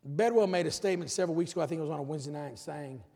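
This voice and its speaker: A middle-aged male pastor preaching, his voice gravelly and somewhat husky. He pushes his points with rising emphasis. The sound rings with the long reverb of a church sanctuary. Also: a little high, racing, a little mellow. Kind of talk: sermon.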